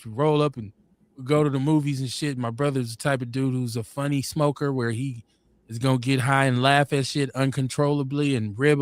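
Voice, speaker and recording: Man, forties, laid-back storyteller, rambling, animated quotes, dry close sound. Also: a little high, dry recording. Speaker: podcast host. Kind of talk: casual storytelling chat.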